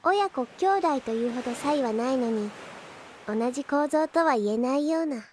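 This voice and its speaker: A woman's voice: high voice, expressive, moderate pace, a little hiss or hum. An actress playing a polite, earnest little android girl in a Japanese audio drama, speaking carefully. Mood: thoughtful. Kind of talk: Japanese anime-style audio drama acting.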